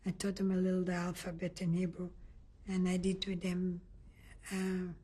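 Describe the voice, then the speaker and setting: An older woman from Lithuania giving an oral history testimony in a halting way, often restarting and searching for words. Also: fairly low pitch, completely flat delivery, warm tone, slightly rough. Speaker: interviewee.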